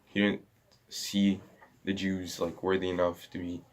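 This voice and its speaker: A man's voice: deep voice, completely flat delivery, measured pace, slight background noise. A young man of mixed Sudanese, Egyptian and Moroccan roots, a podcast guest talking casually and hesitantly. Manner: hesitant, many likes. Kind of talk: casual chat.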